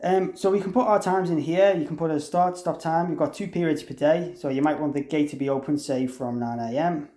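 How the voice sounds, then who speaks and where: Higher-voiced man giving an echoey app walkthrough. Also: steady tone, fairly quick, few pauses, mellow. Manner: explanatory, conversational, thinking aloud. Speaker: demonstrator presenting a product tutorial. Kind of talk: informal software walkthrough, unscripted. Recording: roomy, echoey room sound.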